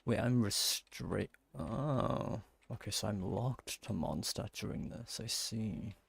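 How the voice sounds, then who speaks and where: A man giving casual, off-the-cuff commentary as he plays a video game, with a husky, gravelly voice. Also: fairly low pitch, takes it slowly, no room sound. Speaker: gaming streamer. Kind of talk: casual play-along commentary.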